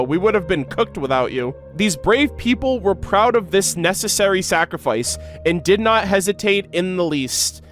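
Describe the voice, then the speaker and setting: A man's voice: high-pitched, a bit bright. A man streaming his reaction to game lore, reading story text aloud and commenting casually in an emotive, slangy way.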